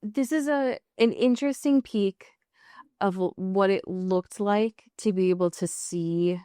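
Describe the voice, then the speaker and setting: A woman's voice: medium pitch, unhurried, mellow, clean tone, no echo, clean studio sound. A woman podcast co-host chatting casually as she recaps a show, acting out bits of dialogue and swearing freely.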